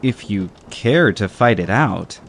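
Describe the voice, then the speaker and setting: A man playing a courteous, calmly defiant gentleman caballero with a formal, measured manner in a dramatized audiobook. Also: lowish voice, creaky, heavily acted. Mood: courteous firmness.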